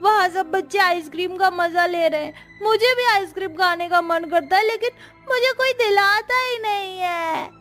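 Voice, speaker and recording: A woman's voice: extremely high pitch, moderate pace, few pauses, a bit bright, faint music behind. A woman voicing a stubborn, whiny young boy in a cartoon story, pleading in a childish way. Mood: tearful sulking. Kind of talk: Hindi moral story cartoon dubbing.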